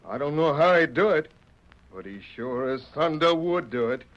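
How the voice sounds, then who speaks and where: An actor playing an earnest, indignant cowboy prisoner in a Western radio drama, speaking plain, emphatic frontier dialect. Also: male voice, on the high side, lively intonation, normal speed, fairly bright tone. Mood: earnest pleading. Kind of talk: 1930s-40s Western radio acting. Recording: muffled old radio recording.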